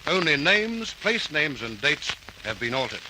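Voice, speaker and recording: A man's voice: on the high side, very animated, unhurried. A man reading formal, earnest narration for an old radio crime drama. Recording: old radio recording with hiss.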